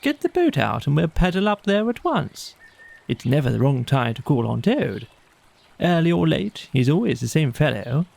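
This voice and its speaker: A man's voice: fairly high pitch, big pitch swings, normal speed, vocal fry, darkish tone, voice pushed up, full character voice. A man voicing a cheerful, good-natured storybook water rat with a breezy, chatty and enthusiastic manner. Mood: good-natured, eager. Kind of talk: storybook character voice in an audio play.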